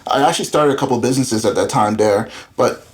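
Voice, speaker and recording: A man's voice: mid-range voice, fast talker. Candid rambling man in his thirties. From Florida. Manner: rambling, candid, filler-heavy. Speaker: guest entrepreneur. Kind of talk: casual interview chat.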